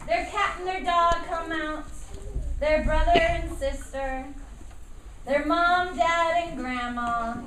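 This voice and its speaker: A woman narrating a children's puppet show live, animated and encouraging as she directs the children. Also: very high voice, slow. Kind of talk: live storytelling to young children. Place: indoor room at a school show. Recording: noisy echoey room, distant microphone.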